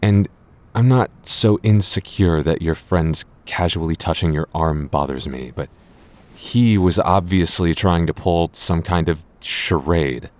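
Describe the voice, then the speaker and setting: A man's voice: deep voice, a little slow, a little mellow. A man playing a jealous, apologetic boyfriend in an audio roleplay, speaking earnestly with hesitant stammers. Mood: agitated, earnest. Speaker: voice actor.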